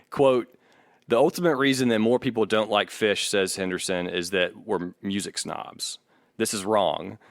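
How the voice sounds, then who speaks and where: A man with a fairly low voice, recorded close and dry. He talks as a podcast co-host, switching between casual opinion and reading aloud, and argues his points firmly. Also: a little slow, gravelly, dry recording.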